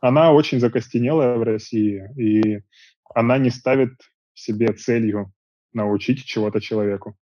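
A man in his thirties, an interview guest, chatting casually in long, relaxed stretches from a small room at home over earbuds on a video call. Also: medium pitch, normal speed, no room sound. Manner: relaxed, talks in long rambling stretches with fillers. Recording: dull dry video call audio.

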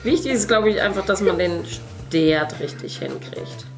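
A woman's voice: lowish voice, lively intonation, a little slow, soft background music. A middle-aged woman, chatting casually as she paints indoors. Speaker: host, mother. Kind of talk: casual chat while painting. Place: indoor room at a craft table.

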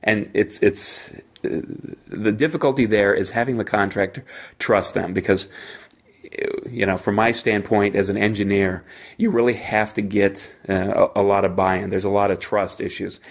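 A man hosting a solo podcast, talking informally and haltingly as he thinks aloud. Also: on the low side, steady tone. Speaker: podcast host; engineer.

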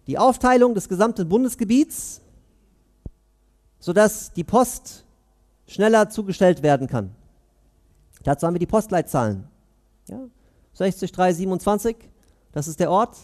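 A man in his thirties giving a Friday sermon in a mosque, earnest and questioning, pausing between phrases, on a headset mic. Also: sits high, a little mellow. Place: mosque prayer hall, indoors.

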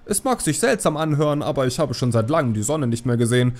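An exuberant, eccentric fisherman panda from a fantasy audiobook, acted out by a man. The voice jumps up high and swings widely, carefree and full of chuckles. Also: expressive, quick pace, darkish tone, natural voice. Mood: overjoyed, enthusiastic. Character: cheerful eccentric long-lost panda fisherman, giddy and carefree.

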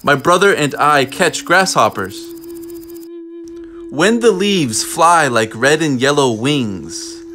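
A man reading a book aloud in a measured, deliberate way, pausing often between phrases. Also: fairly high pitch, expressive, pushed and bright, soft background music. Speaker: storybook reader. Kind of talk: children's book read-aloud, scripted narration.

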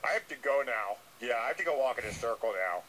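A man's voice: medium pitch, animated, quick pace, fairly bright tone, voice pushed down, natural voice. Guy doing a nervous dopey llama on the radio, keeps repeating himself, noisy playback. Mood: sheepish. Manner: hesitant, rambling, repeats himself. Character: nervous, earnest, dim-witted male llama. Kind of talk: improvised comic radio phone call.

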